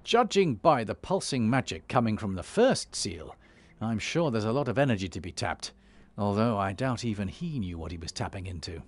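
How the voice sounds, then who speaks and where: An actor playing a commanding, measured and decisive warrior leader in a fantasy video game. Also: male voice, on the low side, big pitch swings. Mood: reasoning, impressed.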